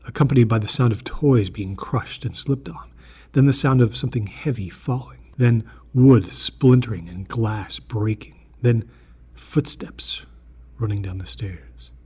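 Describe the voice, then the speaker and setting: A man reading a scripted first-person horror story in a deliberate, earnest manner, with a slightly husky voice. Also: on the low side, warm tone.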